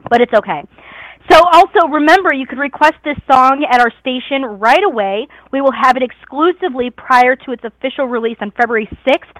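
A woman hosts a live radio show in a high, strained voice that is going hoarse. She chats in a gushing, excited way. The sound is dry and close, with no room around it. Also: a little fast, no echo, low-quality recording. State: hoarse, losing her voice.

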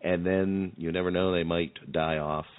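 A man co-hosting a podcast in casual chat, halting and repeating words as he searches for phrasing. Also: sits low, flat delivery, smooth, dry recording, narrow, tinny audio. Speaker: podcast co-host.

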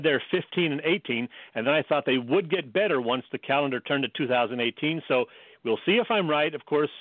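A man's voice: on the high side, no room sound. A middle-aged man co-hosting a sports radio show, delivering talk and commentary. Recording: narrow phone-like radio sound.

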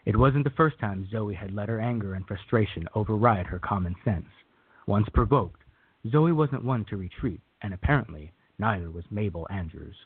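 Male narrator for radio theater, lowish and husky, scripted read, close and dry. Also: expressive, normal speed, no room sound. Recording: tinny, phone-like narrow band.

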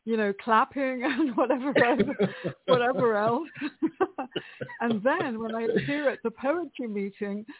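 A woman from Greece, a guest poet in a relaxed interview, who speaks thoughtfully with many pauses and laughs often. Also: a little high, slight background noise. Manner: thoughtful, hesitant, laughs often between phrases. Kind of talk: conversational interview. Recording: tinny phone line.

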